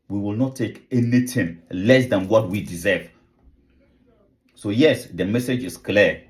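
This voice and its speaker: Guy with a lowish voice, fired up, preaching to the camera, keeps asking why. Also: a little mellow. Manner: fiery, repetitive rallying speech with rhetorical questions. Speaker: activist channel host. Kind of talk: impassioned address to camera.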